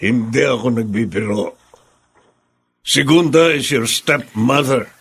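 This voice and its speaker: A man's voice: mid-range voice, takes it slowly, fairly bright tone, breathy edge, exaggerated voice. A man playing a middle-aged widowed father in a radio drama, firm yet pleading as he defends his new wife.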